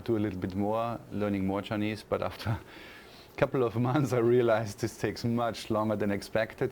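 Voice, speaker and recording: A fairly low-voiced man from Austria speaks English with an Austrian German accent, his voice carrying a gravelly fry. He answers interview questions thoughtfully, pausing on fillers and restarting phrases. A faint hiss sits under the recording. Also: darkish tone. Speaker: university lecturer, interview guest.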